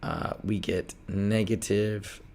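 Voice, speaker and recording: Hoarse man tutoring math live. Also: lowish voice, slow. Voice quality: gravelly, strained. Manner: energetic, talks through problems step by step. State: hoarse, losing his voice.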